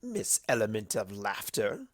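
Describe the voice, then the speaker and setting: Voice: mid-range voice, wildly swooping pitch, a little slow, no echo, studio quality. A narrator voicing a sly, theatrical male prankster creature of chaos in a dramatic, teasing, smug way for a cartoon fan story. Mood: mushy, teasing.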